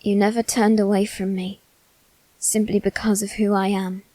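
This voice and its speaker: A woman voicing a melancholy teenage noble boy, resigned to death, in a soft, deliberate ASMR roleplay monologue with dramatic pauses. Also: mid-range voice, level tone, no room sound, lightly acted. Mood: bitter, tender.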